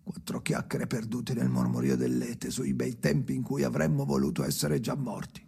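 An actor playing a bitter, anguished man speaking to his dead father in a literary radio drama, in halting short phrases, husky and gravelly, often sinking into a whisper. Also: medium pitch, noisy background, heavily acted. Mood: sardonic, fed up.

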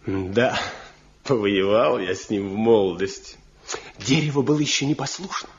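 An actor playing a proud, stubborn master woodcarver from a mountain village in a radio drama, speaking deliberately in folksy, declamatory phrases. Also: male voice, on the low side, slight background noise, close to an everyday voice. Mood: reminiscing, wry. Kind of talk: Soviet radio drama acting. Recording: muffled 1970 radio play recording.

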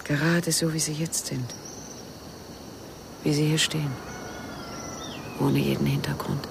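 An actress playing a guarded, wistful widow who speaks evasively and intimately in a German radio crime drama. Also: female voice, deep voice, little pitch movement, measured pace, gravelly, background noise.

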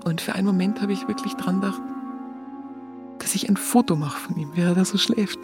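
A woman playing a tender, earnest young Munich woman with a Bavarian accent, confiding haltingly in a radio drama. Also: mid-range voice, natural voice. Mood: tender, moved. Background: music.

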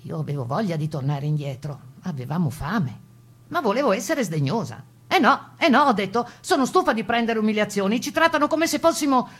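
A woman's voice: mid-range voice, expressive, a little fast, breathy edge. Actress as a proud, emphatic partisan woman. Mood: proud, indignant. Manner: emphatic storytelling, acting out quoted exchanges. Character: proud, indignant woman partisan recounting deportation and homecoming. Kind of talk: theatrical first-person testimony monologue.

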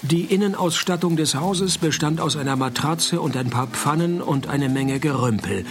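A man's voice: on the high side, moderate pace, lightly acted. An actor playing a dry, sardonic private detective, narrating his case in a radio play. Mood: dry narration. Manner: deadpan, laconic, sardonic.

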